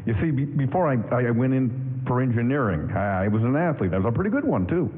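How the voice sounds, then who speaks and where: An actor playing a troubled, earnest young war veteran who stammers and repeats himself, in a 1940s-style radio drama. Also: male voice, mid-range voice, expressive, fast, lightly acted. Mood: troubled, self-doubting. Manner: hesitant, stammering, repeating words. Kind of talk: 1940s radio drama acting, staged live. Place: stage of a town hall, live broadcast. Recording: phone-like AM radio aircheck, echoing hall, music.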